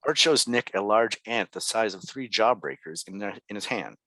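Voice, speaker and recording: A man narrates the stage directions of a script at a table read. His voice is fairly low, and the sound is dry with no room echo. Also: lively intonation, normal speed, bright, forceful tone.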